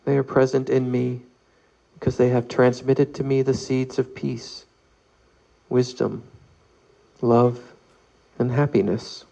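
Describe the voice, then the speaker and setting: A man guides a meditation in a mellow voice with a creaky edge. He reads slowly and calmly, leaving long pauses between measured phrases. The recording sounds a little muffled. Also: medium pitch. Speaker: meditation leader. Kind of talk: guided meditation, read aloud.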